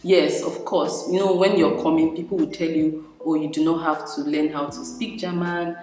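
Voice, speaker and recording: A woman's voice: low voice, fairly even intonation, normal speed, warm tone. Chatty Nigerian woman in an echoey room. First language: Igbo. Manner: chatty, laughs often, frequent fillers and restarts. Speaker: vlogger. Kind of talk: casual vlog talk to camera. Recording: very echoey room with long reverb.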